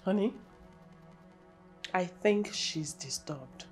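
An actress playing a concerned wife in a film drama. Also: female voice, fairly low pitch, expressive, extremely slow, over music. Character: concerned wife calling through the house.

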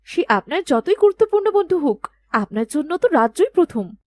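A woman's voice: sits high, sing-song, fairly quick, darkish tone, pitched above the performer's own voice. A woman voicing a dutiful young princess in a storybook cartoon, with an earnest, regal way of speaking. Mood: worried, questioning. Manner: earnest, insistent questioning.

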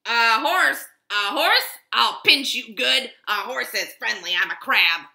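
Woman playing a loud, grumpy crab. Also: a little high, animated, takes it slowly, vocal fry, exaggerated voice. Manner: loud, snappish. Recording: roomy echo.

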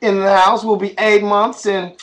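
A man's voice: high-pitched, moderate pace. A man in his thirties, a father talking playfully to his baby while filming a home vlog on a webcam. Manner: animated, singsong baby talk. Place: small room at home, indoors. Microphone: webcam at arm's length.

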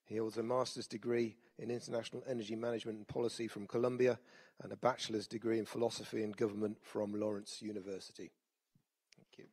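A man's voice: lowish voice, level tone, quick pace, a little mellow, slightly rough. A man chairing a conference session, introducing the next speaker hesitantly with many fillers.

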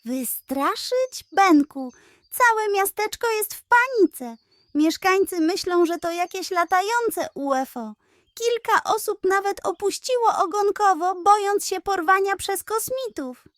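A woman voicing an earnest, kindly male piglet detective in a children's bedtime story, explaining things in an animated way. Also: very high voice, unhurried, dry recording, studio quality, voice pushed up. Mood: alarmed, reproachful. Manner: animated, explaining, morally instructive.

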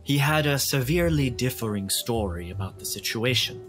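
A man voicing a flustered, overly polite ship's steward in a tabletop roleplay, halting, formal and apologetic. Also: medium pitch, animated, a bit bright, soft background music, close to an everyday voice. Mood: apologetic, officious.

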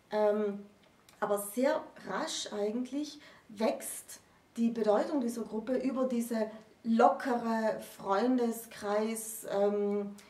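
A woman in her thirties explaining literary history in a conversational, deliberate lecture style as a co-presenter. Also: a little high, darkish tone. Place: small indoor room with bookshelves. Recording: roomy echo, distant microphone.

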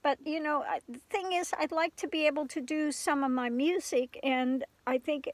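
An older woman chatting casually into her phone inside a camper, stuffed up with a cold and clearing her throat often. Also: sits high, normal speed, no echo. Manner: rambling, frequent throat clearing and soft laughs. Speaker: vlogger. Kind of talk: casual chat to camera. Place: inside a small camper van at night. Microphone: phone camera at arm's length.

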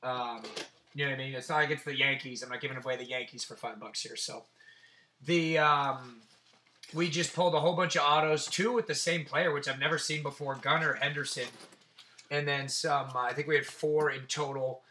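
A man with a raspy, sore throat hosting a casual card-breaking stream, chatting and swearing as he reels off player names. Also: medium pitch, fairly even intonation, fairly quick, bright, forceful tone, a little hiss or hum. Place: tabletop card-breaking setup indoors.

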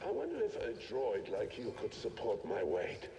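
A man's voice: lowish voice, wildly swooping pitch, moderate pace, creaky, mellow, soft background music, heavily acted. A man voicing a sly, amused raider in a video game, with a wry, intrigued manner. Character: sly, amused raider who admires destruction. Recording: long reverb, faint game ambience.

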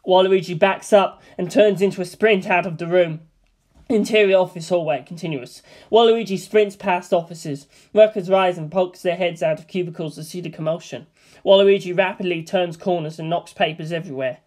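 Script narrator, middling pitch, scripted screenplay narration, stage directions. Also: moderate pace, a little mellow.

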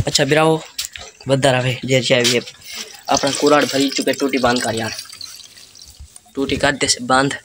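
A young man with a Saraiki accent gives informal, repetitive vlog commentary at a medium pitch. He talks into a phone held at arm's length outdoors, with clear noise around him. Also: fairly even intonation, measured pace, pauses often. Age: teens to young adult. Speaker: vlogger, host. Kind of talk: casual village vlog chat. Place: outdoors in village lanes, fields and walled yard. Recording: noisy handheld phone recording.

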